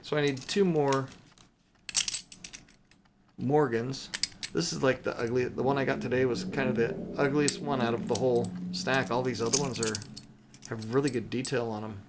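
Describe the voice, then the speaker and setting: A man with a medium-pitched voice talks informally, as if thinking aloud. He rambles casually through an unscripted hobby video, with some noise behind him. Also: fairly even intonation, moderate pace, long pauses. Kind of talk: casual unscripted chat to viewers while showing items.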